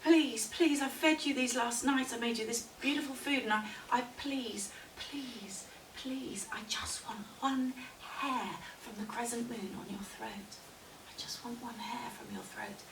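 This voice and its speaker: A woman's voice: very high voice, fairly quick, few pauses, pitched above the performer's own voice. A woman storyteller voicing a small, scared young woman who pleads eagerly in stammers and repeats. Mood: scared pleading. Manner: breathless repeated pleas and stammers. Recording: echoey, distant, hissy live recording.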